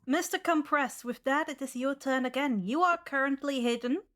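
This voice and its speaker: A woman's voice: high voice, normal speed, fairly bright tone. A woman acting as game master, narrating and refereeing a tabletop role-playing game.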